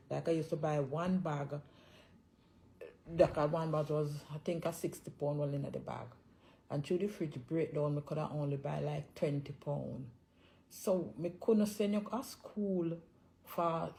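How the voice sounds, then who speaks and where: A woman's voice: deep voice, gravelly, warm tone. A middle-aged woman with a Jamaican accent, reminiscing casually about family life and repeating her words emphatically to hold the floor. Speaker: mother of the host, joining the story.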